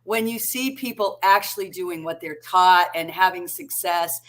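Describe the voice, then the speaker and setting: A woman's voice: on the low side, moderate pace. A woman with a Massachusetts accent co-hosting a casual chat over a video call, talking in a chatty way and often asking "right?". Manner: chatty, warm, seeks agreement with frequent "right?". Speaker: co-host.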